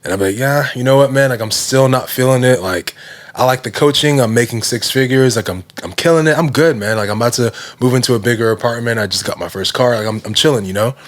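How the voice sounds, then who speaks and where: A man in his thirties, a guest telling his business story in relaxed casual talk, full of "you know" and "like". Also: medium pitch, fast talker. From Nigerian heritage. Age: 30s. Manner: relaxed, heavy use of fillers like "you know" and "like". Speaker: guest, fitness brand founder.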